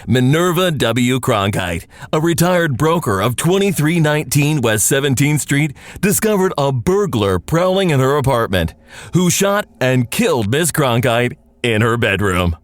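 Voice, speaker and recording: A man's voice: medium pitch, sing-song, measured pace, no room sound. An actor playing a laid-back, sardonic radio newsman and disc jockey in an audio drama, with a wry, casual manner. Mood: wry newsreading. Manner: wry, casual, dry-humored. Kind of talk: naturalistic audio drama acting.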